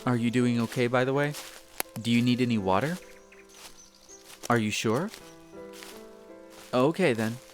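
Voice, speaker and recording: A man's voice: mid-range voice, measured pace, pauses often, soft background music, close to an everyday voice. A man playing an earnest, bookish young elf apprentice in a roleplay audio drama, chatty and a little hesitant, easily flustered. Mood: gentle, curious. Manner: hesitant, chatty, explains eagerly. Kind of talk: scripted roleplay audio drama addressed to the listener.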